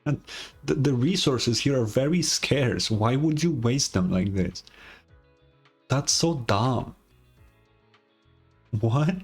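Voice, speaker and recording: A man's voice: mid-range voice, animated, slow, long pauses, husky, soft background music. A man giving casual playthrough commentary as a gaming streamer, blunt and sweary.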